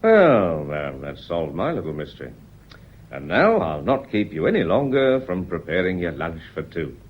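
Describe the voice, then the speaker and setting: A very deep-voiced police inspector in a radio play. He is courteous and deliberate but relentlessly probing, with a lively rise and fall to his lines. The old recording sounds dull and muffled. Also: breathy edge, full character voice. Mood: probing, dry. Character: shrewd, politely relentless male police inspector. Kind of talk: radio drama acting.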